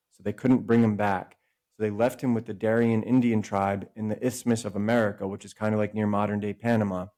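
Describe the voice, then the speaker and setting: A man with a fairly low, warm and mellow voice. He talks as a podcast guest over a remote call, working through ideas in long, wandering stretches and often catching and correcting himself mid-thought. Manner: discursive, self-correcting, speeds up and slows down. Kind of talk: casual explanatory conversation.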